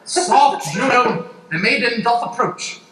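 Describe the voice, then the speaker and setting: A man's voice: very high voice, expressive, slow, pushed and bright, far from the mic, full character voice. A man playing a mischievous, grandiose god in improvised stage comedy, declaiming in mock-archaic language. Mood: admiring. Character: grandiose, mischievous male god meddling in mortals' lives. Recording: noisy, echoey stage pickup from afar.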